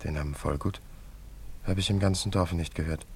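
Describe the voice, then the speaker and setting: A man's voice: deep voice, steady tone, fairly quick, slight background noise, lightly acted. An actor playing a carefree, teasing young wandering man in a German radio play, in an easygoing, bantering way. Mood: curious, friendly.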